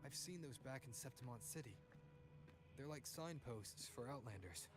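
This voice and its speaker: A man playing a kind, focused young hero in a video game, with an earnest, measured delivery. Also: mid-range voice, lively intonation, loud music behind the voice, natural voice. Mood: focused, puzzled. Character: young male hero, gentle, focused, kind to his companion. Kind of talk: video game character acting.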